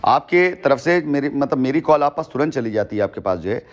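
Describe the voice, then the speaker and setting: A man's voice: mid-range voice, lively intonation, brisk. Man explaining emphatically on muffled phone call. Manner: emphatic, repeats phrases, long-winded. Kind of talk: phone conversation, explaining at length. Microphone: telephone. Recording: dull lo-fi recorded phone call.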